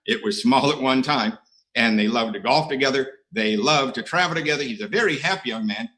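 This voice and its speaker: A middle-aged American man tells his recovery story with a dry, self-mocking wit. His voice is bright and forward, and his pitch sits in an ordinary middle range. He pauses often on "uh" between wry asides. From United States. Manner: self-mocking, dry humor, many uhs. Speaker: AA speaker. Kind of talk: recovery share talk. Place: online conference.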